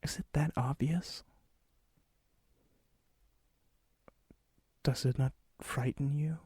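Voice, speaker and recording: A man playing a lonely, gentle, melancholy vampire in an ASMR roleplay, speaking softly and hesitantly, often near a whisper. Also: mid-range voice, fairly even intonation, slightly rough, no echo. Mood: nervous, confessing. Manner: hesitant, wistful, halting. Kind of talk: ASMR roleplay, intimate acted monologue.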